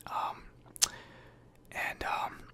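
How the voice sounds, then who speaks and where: A man softly whispering an ASMR sci-fi journal roleplay in a breathy, hesitant, thoughtful way, close to the microphone. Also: extremely slow. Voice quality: breathy whisper and soft speech. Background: computer keyboard typing.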